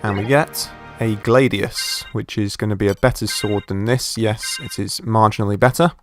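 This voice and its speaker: A man with a croaky voice from a sore throat, casually commenting while he plays a game and thinks aloud. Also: fairly low pitch, fairly even intonation, normal speed, over music. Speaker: gameplay commentator. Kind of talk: casual let's play commentary.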